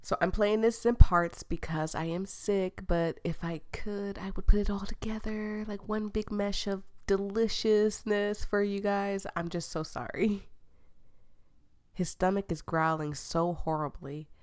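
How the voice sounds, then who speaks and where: A woman's voice: medium pitch, steady tone, measured pace, a little mellow. A woman, sick and losing her voice, reading a dating game aloud with giggly, playful asides to viewers. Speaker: gaming streamer.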